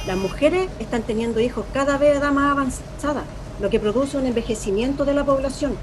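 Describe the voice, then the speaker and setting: Actress doing opinionated conspiracy lady on the street, radio drama, high voice, noisy vehicles behind. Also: natural voice. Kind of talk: Spanish-language radio drama acting.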